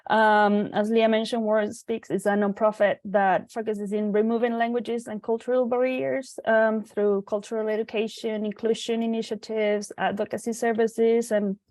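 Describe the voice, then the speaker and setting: A woman's voice: a little high, level tone, moderate pace, hardly any pauses, a little mellow, no echo. Woman, hesitant panel intro. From Chile. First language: Spanish. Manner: casual, hesitant, many "um" fillers. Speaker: interpreter and translator on a panel.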